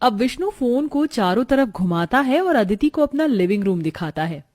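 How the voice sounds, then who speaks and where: A woman narrating a cartoon story in a calm, even storytelling voice. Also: on the high side, normal speed.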